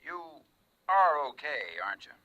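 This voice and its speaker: A man playing a concerned, brisk husband talking over a telephone in an old-time radio drama. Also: on the high side, big pitch swings, dry recording. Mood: probing, affectionate. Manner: casual, probing, affectionate. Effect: telephone filter. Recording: dull telephone-filtered old radio sound.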